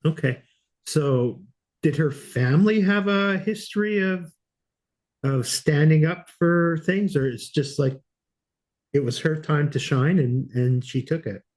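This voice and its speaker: A man's voice: on the high side, unhurried, darkish tone. A man hosting a podcast interview in a casual, conversational way.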